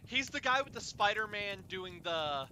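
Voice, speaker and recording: A man hosting an informal chat, telling rambling stories in a halting, animated way and swearing at times. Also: sits high, a little hiss or hum. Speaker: host of an informal fireside chat. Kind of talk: casual chat, rambling storytelling.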